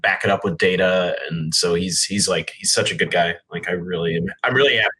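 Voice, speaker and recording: A man co-hosting a casual podcast, talking in a relaxed, rambling way full of "like". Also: sits low, fairly quick, husky. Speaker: podcast co-host.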